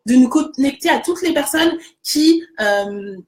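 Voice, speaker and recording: A woman's voice: sits high, lively intonation, unhurried, a little mellow, soft background music. A woman hosting a casual conversation, agreeing enthusiastically and explaining with many fillers. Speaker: host.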